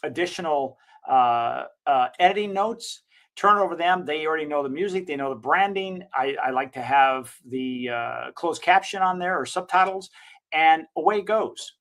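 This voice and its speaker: Excited agency owner guy, bit higher voice than you'd expect, rambles and corrects himself a lot. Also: moderate pace. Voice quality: slightly higher-pitched voice. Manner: enthusiastic, recommending. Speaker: digital marketing agency owner. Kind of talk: casual screen-share software walkthrough.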